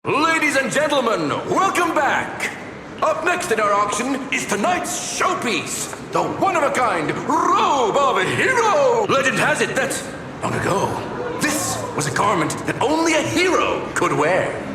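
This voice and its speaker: A man playing a showy, flattering auctioneer in a video game, theatrical and grandiose, as if addressing a hall. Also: very high-pitched, heavily acted. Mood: welcoming showman. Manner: theatrical, grandiose, drawn-out calls. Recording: echoey hall, noise behind.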